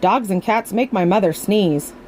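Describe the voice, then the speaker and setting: A woman voicing a sweet, earnest little girl in a children's storybook, with an expressive delivery. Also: medium pitch, moderate pace, a little hiss or hum, natural voice, poor audio. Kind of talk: children's storybook character reading.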